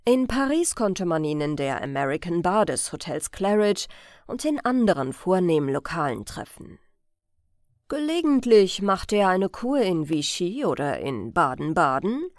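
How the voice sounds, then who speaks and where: A woman's voice: fairly low pitch, big pitch swings, unhurried, dry recording, studio quality. A woman narrating a scripted crime story as an audiobook reader.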